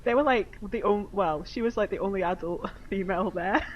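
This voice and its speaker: A woman co-hosting a podcast in a relaxed, informal chat. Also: mid-range voice, a little fast, lo-fi sound. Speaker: podcast co-host. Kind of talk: casual chat.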